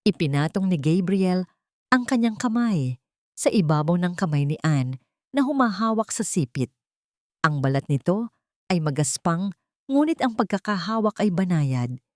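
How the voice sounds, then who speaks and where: Female audio drama narrator, low register, dramatic scripted delivery, dry studio-style sound. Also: very animated, normal speed, pauses often, no room sound.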